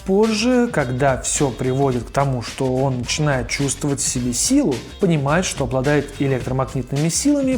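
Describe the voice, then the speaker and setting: A man's voice: on the high side, a little mellow. A man narrating an informal scripted video essay as its host, in a colloquial, opinionated manner. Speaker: host of a cartoon retrospective video.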